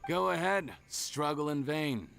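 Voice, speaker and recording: An actor playing a smug, ruthless criminal mastermind in a video game, theatrical and sneering. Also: male voice, on the high side, a little slow, vocal fry, fairly bright tone. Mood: smug, gloating. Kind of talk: video game villain voice acting.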